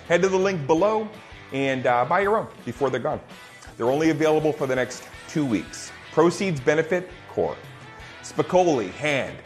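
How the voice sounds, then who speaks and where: A man hosting an online charity table read, making a casual fundraising appeal. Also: on the high side. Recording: faint music behind.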